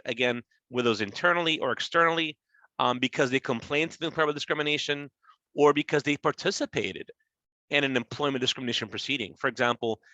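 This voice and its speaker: A man's voice: on the high side, sharp tone, dry recording, dull audio. A man in his forties giving an informal, conversational webinar presentation on workplace law, explaining and rephrasing points as he goes. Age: 40s. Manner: conversational, checks in with "right?". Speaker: government agency presenter.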